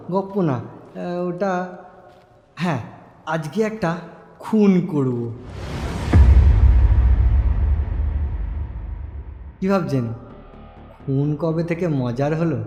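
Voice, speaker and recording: A man's voice: fairly high pitch, warm tone. A man playing an unhinged, rambling middle-class narrator in an audio story who gleefully plots murder and keeps interrupting himself to address listeners. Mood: musing, darkly amused. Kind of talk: dramatic first-person audio story monologue. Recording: echoing reverb over music bed.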